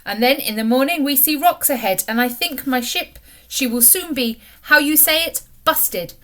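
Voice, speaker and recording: A woman's voice: sits high, normal speed, a bit bright. A woman voicing a cheerful, burly male Russian sea captain with a put-on Russian accent, speaking grand, broken English. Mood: jolly.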